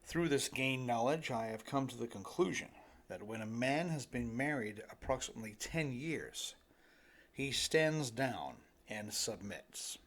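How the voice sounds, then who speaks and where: A man's voice: mid-range voice, lively intonation, measured pace, a bit bright. A middle-aged man narrating his own self-help audiobook with light tongue-in-cheek humour, speaking straight to his listeners. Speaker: author reading own audiobook.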